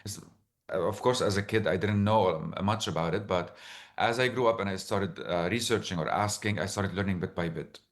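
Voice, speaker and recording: A man with a Lebanese accent telling personal stories as an interview guest, halting as he searches for words. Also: deep voice. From Lebanon, born in the Emirates. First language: Arabic. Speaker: interview guest, author and storyteller. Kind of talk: reflective personal storytelling in conversation.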